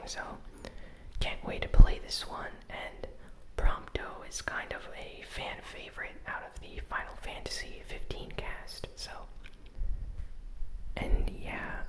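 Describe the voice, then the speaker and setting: A host giving ASMR gaming news commentary in a breathy, unvoiced whisper, soft-spoken and unhurried. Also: poor audio.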